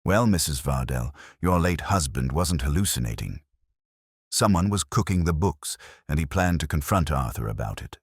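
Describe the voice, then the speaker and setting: A very deep, husky male actor's voice as a sardonic hardboiled detective's sidekick, telling a mystery audiobook. The delivery is dry and wisecracking, recorded close and dry. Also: expressive, normal speed, dry recording, exaggerated voice. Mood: wry, probing. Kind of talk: first-person detective audiobook narration.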